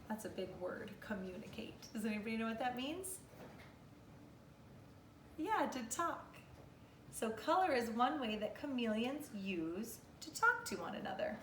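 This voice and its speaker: A woman in her thirties, a kindergarten teacher reading aloud to young children in an expressive, instructive way and asking questions, recorded at home from a few metres away. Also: on the high side. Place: living room at home, indoors. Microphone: camera or phone a few metres away. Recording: roomy, distant mic, faint noise.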